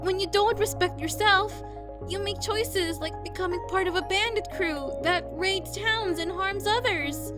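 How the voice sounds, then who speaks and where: A woman playing a gentle, reassuring cleric in a fantasy audio drama, giving earnest counsel. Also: extremely high pitch, unhurried. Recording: clear music under the voice.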